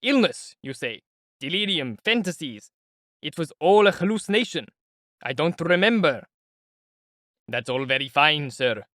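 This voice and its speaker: A man's voice: fairly high pitch, animated, moderate pace, fairly bright tone, no echo, studio quality. A man voicing a sly, sardonic middle-aged male investigator who talks in a chatty, teasing, politely mocking way. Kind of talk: audiobook character voice.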